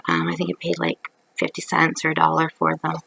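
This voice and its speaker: A middle-aged woman from Canada, hoarse from a cold, chatting casually through a craft haul video on her couch, with lots of ums. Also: low-pitched, sing-song, brisk, sharp tone, rough recording. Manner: rambling, chatty, many ums. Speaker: craft vlogger. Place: living room at night, on a couch. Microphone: webcam at close range.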